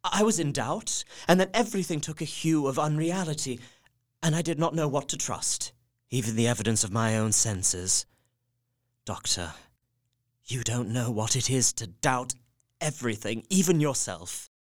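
An actor playing an earnest, newly resolute young husband, reading his journal in a measured, literary way for an audio drama. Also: medium pitch, animated, dry recording, clean studio sound, natural voice. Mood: relieved, earnest.

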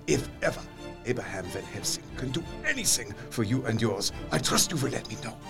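An actor playing an effusive, courtly older foreign doctor in a gothic audio drama, with florid, earnest phrasing. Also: male voice, medium pitch, big pitch swings, brisk, creaky, music in the background. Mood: delighted, effusive.

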